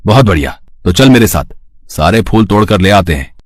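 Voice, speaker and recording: A poor, lazy flower seller, acted by a man, greedy and easily excited. His voice is rather low and rough, in a dry recording. He talks in an impatient, grumbling cartoon-story manner. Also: brisk, no room sound. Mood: eager, impatient. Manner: grumbling, stammering when startled, impatient. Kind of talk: Hindi cartoon moral story.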